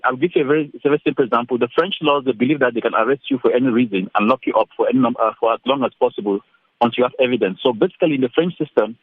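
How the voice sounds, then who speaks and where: A man from Cameroon phones a radio show to give his view. He talks quickly in a husky voice, repeating and restarting phrases, over a thin, dry phone line. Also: medium pitch, no echo. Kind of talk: opinion given live on air.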